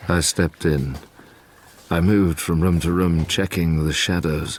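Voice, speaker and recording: An actor playing a haunted young gentleman scientist, narrating his past gravely in an audio drama. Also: sits low, fairly even intonation, slight background noise. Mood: dread. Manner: grave, literary, measured.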